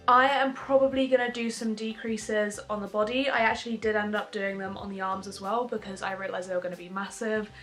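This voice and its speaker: Bright-voiced woman teaching crochet. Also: mid-range voice. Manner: chatty and relaxed, with frequent ums. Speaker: crochet tutor. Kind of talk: casual step-by-step tutorial.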